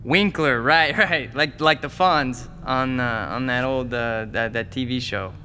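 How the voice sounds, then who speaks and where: A man's voice: mid-range voice, unhurried, sharp tone, a little hiss or hum. A man playing an eager, defensive young would-be comedian in his twenties, joking glibly in an audio drama. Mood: flustered. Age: 20s. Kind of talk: naturalistic audio drama dialogue.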